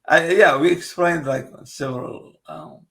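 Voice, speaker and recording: A man whose first language is Kurdish, a guest translator speaking haltingly in a casual interview, with frequent pauses and fillers. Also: fairly high pitch, a bit bright.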